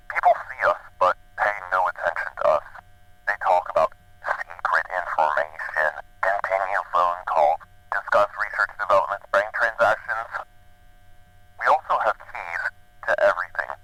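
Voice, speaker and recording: A secretive janitor caller, deep-voiced, crisp and cryptic. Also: male voice, sharp tone, no room sound, poor audio. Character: mysterious older male janitor caller, secretive.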